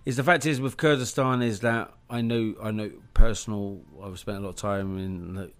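A man's voice: on the low side, steady tone, moderate pace, clean tone. A man talking earnestly as a guest in a casual group chat, with many restarts. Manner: earnest, talks through tangents with restarts. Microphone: live audio room.

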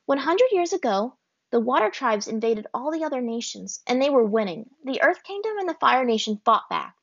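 A woman's voice: high voice, sing-song, normal speed, gravelly, no room sound, muffled recording, voice pushed up, heavily acted. A woman voicing a sassy, teasing young firebender girl who talks down to others. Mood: informing.

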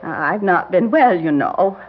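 Genteel grieving grandmother, older, hesitant and defensive, lively intonation, dull classic-radio recording. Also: lowish voice, unhurried, a little hiss or hum. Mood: prim, reserved. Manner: prim, hesitant, evasive. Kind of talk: classic radio drama acting.

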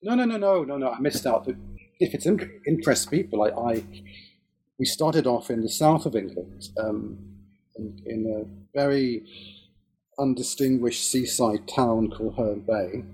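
A man's voice: medium pitch, animated, a little slow, darkish tone, slightly rough, low-quality recording. An older man from Israel, a guest in an interview, talking with many fillers and restarts.

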